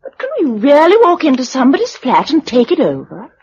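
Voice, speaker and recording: A woman's voice: high voice, very animated, fairly quick, a little mellow, breathy edge, heavily acted. An actress playing a young British woman surviving a disaster in a radio drama, polite, well-spoken and questioning. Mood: tentative, practical. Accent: British English. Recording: dull lo-fi radio recording.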